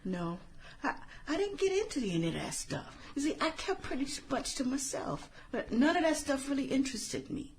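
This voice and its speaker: A woman playing an earnest, idealistic, reserved filmmaker in a satirical radio drama, speaking in a measured, explanatory, slightly formal way. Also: high voice, very animated, slight background noise, rough recording. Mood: guarded.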